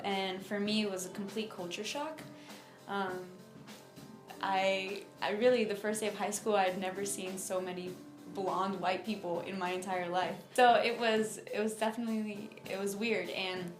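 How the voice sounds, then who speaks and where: A young adult woman from Miami telling her personal story in an interview, speaking informally and haltingly with lots of filler phrases. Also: medium pitch, fairly even intonation, roomy sound, faint music behind. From United States (Miami, Florida). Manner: informal, halting, frequent "like" and "you know".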